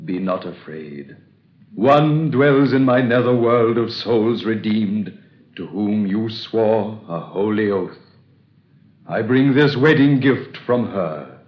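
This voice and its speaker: A grave ancient god of the dead, speaking in stately, archaic phrases. He talks very slowly, in a 1930s radio-play style. The old recording sounds dull and roomy. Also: fairly low pitch, lively intonation. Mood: solemn. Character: solemn ancient male Egyptian god of the dead. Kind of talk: 1930s radio drama acting.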